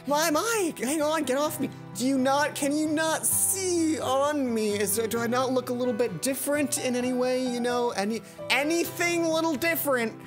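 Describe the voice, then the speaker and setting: Schoolgirl heroine, read by a woman, earnest and flustered, raised pitch, clear music underneath. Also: moderate pace, hardly any pauses, natural voice.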